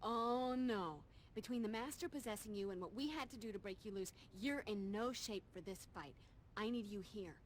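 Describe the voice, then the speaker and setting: An actress playing a young, determined vampire slayer in a video game, flippant and plucky. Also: female voice, mid-range voice, normal speed, slight background noise, lightly acted. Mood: concerned, firm. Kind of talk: video game heroine acting. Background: loud music.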